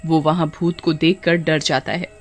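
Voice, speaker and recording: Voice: mid-range voice, little pitch movement, moderate pace, no room sound. A narrator reading a scripted horror tale for animation in a dramatic storytelling style.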